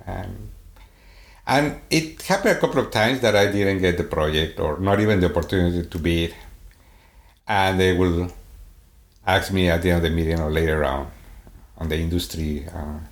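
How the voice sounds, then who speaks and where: A man with a Swedish accent in a casual podcast conversation, talking in a halting way with many restarts and fillers. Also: sits low. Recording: echoey room.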